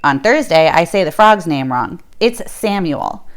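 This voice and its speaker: A woman's voice: lowish voice, animated, a little slow. A woman hosting a read-aloud for young listeners, speaking expressively and directly to them.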